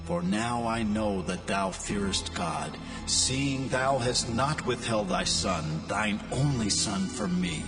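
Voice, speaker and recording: A man's voice: on the low side, expressive, slow, music in the background. A man playing a solemn, merciful angel in a dramatised scripture reading.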